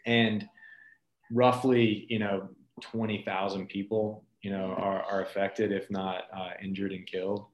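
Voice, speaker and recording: A man with a fairly low voice talks as an interview guest. He tells stories in an informal, earnest way. The sound is noticeably roomy, with echo around his words. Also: flat delivery, unhurried, dull audio, a little hiss or hum. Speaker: nonprofit leader, interview guest. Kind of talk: conversational storytelling in an interview.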